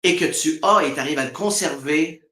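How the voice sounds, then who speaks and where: A man's voice: a little high, moderate pace, pushed and bright, reverberant. A man from Quebec with a Québécois accent, talking casually as a guest on a live stream, laughing often and acting out quoted voices. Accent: Québécois French. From Quebec, Canada. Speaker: spiritual guest.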